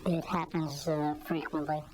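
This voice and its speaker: A woman giving measured answers in an interview as a whistleblower. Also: low-pitched, little pitch movement, a little mellow.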